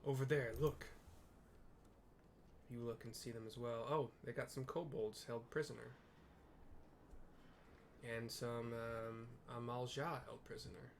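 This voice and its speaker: A man's voice: mid-range voice, level tone, a little slow, gravelly, a little hiss or hum. A man narrating a video game live, describing the scene informally for viewers. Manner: descriptive, informal, reads dialogue aloud.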